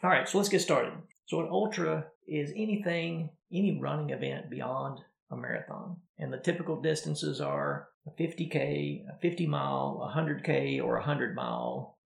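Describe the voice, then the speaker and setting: A man co-hosting a podcast, talking through a story in a relaxed, conversational way. His voice is heavily gravelly, with a lot of vocal fry, and his pitch moves about a lot. The sound carries a noticeable room echo. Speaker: podcast co-host. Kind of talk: casual podcast chat.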